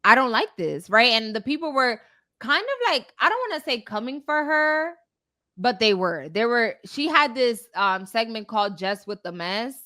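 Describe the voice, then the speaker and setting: Bright-voiced woman chatting. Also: a little high, very animated, moderate pace. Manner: conversational, often seeking agreement with "right?". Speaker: talk show host. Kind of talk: casual chat recapping celebrity news.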